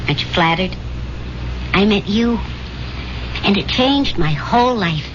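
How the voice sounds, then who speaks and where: A woman's voice: mid-range voice, lively intonation, measured pace, lightly acted. An actress playing a wistful young woman reminiscing with her sweetheart in earnest, reflective 1970s radio drama style. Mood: fond, teasing. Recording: dull, noisy 1970s radio recording.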